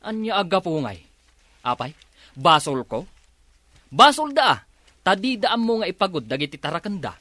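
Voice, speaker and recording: A man's voice: sits high, very animated, moderate pace, pauses often, pushed and bright, dry recording, exaggerated voice. A Filipino man acting in a radio drama as a stubborn, hot-headed farmer husband who grumbles and argues. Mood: stubborn, grumbling. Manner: grumbling, argumentative.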